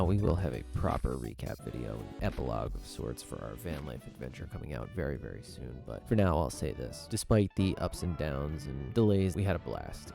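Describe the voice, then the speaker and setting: A man's voice: very deep voice, creaky. A man narrating his own travel video in a wry, conversational voice-over. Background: guitar music.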